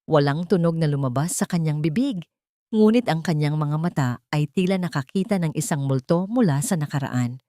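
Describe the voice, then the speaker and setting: A woman's voice: low-pitched, normal speed, warm tone, dry recording, studio quality. A woman with a Filipino accent reading scripted, dramatic narration for an audio drama.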